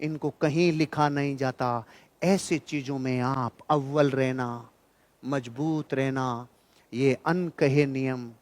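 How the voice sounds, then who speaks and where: A man's voice: medium pitch, fairly even intonation, slow. A man speaking as a motivational speaker at a seminar, emphatic and colloquial, asking rhetorical questions and answering them punchily. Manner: colloquial, jokey asides to listeners. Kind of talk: motivational seminar talk to a live audience.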